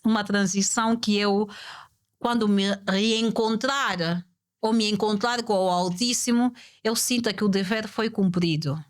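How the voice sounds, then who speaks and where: A woman guest on a podcast conversation, speaking reflectively, repeating her key points and posing rhetorical questions in a measured way. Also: medium pitch.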